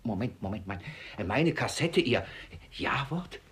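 A man's voice: medium pitch, animated, unhurried, a bit bright. An actor playing a frantic, suspicious old miser father in a radio play, stammering and repeating himself as he blusters. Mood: bewildered. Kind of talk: theatrical German radio play acting.

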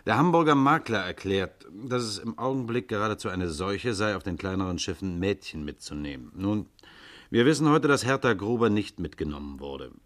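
Schauspieler als sachlicher Kommissar mit tiefer Stimme. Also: male voice, on the low side, animated, moderate pace, natural voice. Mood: matter-of-fact. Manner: matter-of-fact, commanding, clipped. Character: brisk, sober, authoritative male police inspector. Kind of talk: 1950s German radio crime drama acting.

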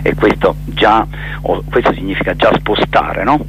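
A man giving a reflective radio interview as a theatre director, halting and emphatic, repeating words as he searches for terms. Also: low voice, vocal fry, fairly bright tone, slight background noise, poor audio.

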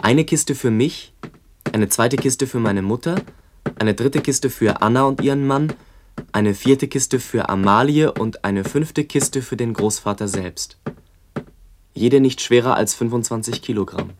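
A man playing a narrator who relives his rural boyhood at the war's end, in a deliberate, descriptive radio play monologue. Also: medium pitch, noisy background, natural voice. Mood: grave, remembering.

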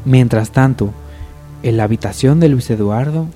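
A male narrator reads a scripted spoof of a radio soap. His voice is mellow and of average pitch, with some music underneath. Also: normal speed.

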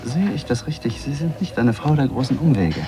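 Male actor as worldly opinionated guy, deliberate phrasing, mid voice, old radio drama. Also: mid-range voice, animated, over music, natural voice. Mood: flirtatious. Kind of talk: 1980s German radio drama acting.

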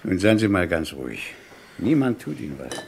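Gravelly, suave gangster boss. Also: male voice, deep voice, big pitch swings, noisy background, exaggerated voice. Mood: calmly commanding. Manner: ironically polite, smooth-talking, unhurried menace. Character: suave menacing male gangster boss, mockingly courteous. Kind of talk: German radio crime play acting.

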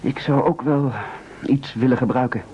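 An actor playing an anxious, selfish, fussily polite castaway gentleman in an absurdist radio play, hesitant and stammering over words. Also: mid-range voice, steady tone, normal speed, husky, lightly acted. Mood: plaintive, puzzled. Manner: hesitant, stammering repetitions, indignant. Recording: dull lo-fi old radio recording.

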